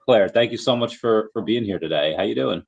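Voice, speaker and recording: Deep-voiced podcast host man, dull sound. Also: vocal fry, a crowd in the background. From United States. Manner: friendly, halting questions with restarts. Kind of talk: casual interview chat.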